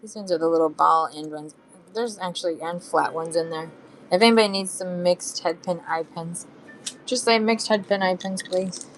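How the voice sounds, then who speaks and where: A woman's voice: on the low side, normal speed, slight background noise, poor audio. A woman running a live online sale, chatting casually with buyers as she shows beads and charms, her throat a bit phlegmy. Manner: chatty, hums and sings to herself between items. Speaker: live auction seller. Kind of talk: live selling stream chat.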